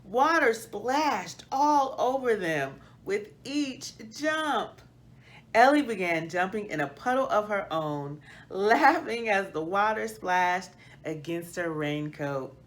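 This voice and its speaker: A middle-aged woman hosting a children's read-aloud, reading storybook style with deliberate, expressive phrasing. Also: medium pitch. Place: small plain indoor room.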